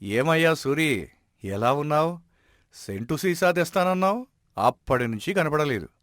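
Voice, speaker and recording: A man playing a wise, respected village elder in an animated moral story, giving advice in a measured, admonishing way. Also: a little high, no room sound, studio quality. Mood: friendly inquiring.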